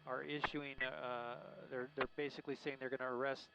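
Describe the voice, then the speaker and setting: A man working as a helicopter news reporter, giving live unscripted commentary in a halting, searching way. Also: medium pitch, little pitch movement, vocal fry. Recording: muffled lo-fi live broadcast with faint noise.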